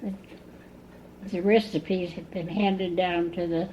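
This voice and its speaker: A woman in her nineties from Indiana, recalling her family slowly in an oral history interview at home. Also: lowish voice, steady tone. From Indiana, USA. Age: 90s. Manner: chatty, recalls slowly with pauses. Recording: dull lo-fi 1980s cassette tape, faint hiss.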